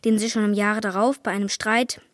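A woman playing a plucky, excitable young boy ghost hunter in a children's audio drama. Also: medium pitch, a little fast, no echo, studio quality, close to an everyday voice. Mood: intrigued.

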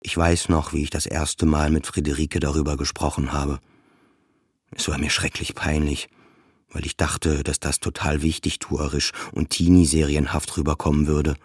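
A man's voice: rumbling low, flat delivery, normal speed, husky, dry recording, studio quality. An actor playing a depressed, self-doubting man who narrates his own story in a subdued, confessional radio play style. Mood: brooding. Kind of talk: introspective first-person radio play narration.

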